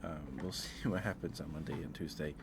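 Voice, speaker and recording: A man's voice: extremely low pitch, steady tone, fairly quick, noisy background. A man hosting a casual livestream, thinking aloud in a hesitant way. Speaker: livestream host.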